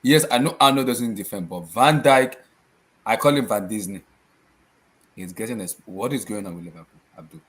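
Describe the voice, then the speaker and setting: Male host, low register, lively questioning, casual sports talk show. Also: normal speed. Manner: questioning, animated. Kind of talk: casual football chat.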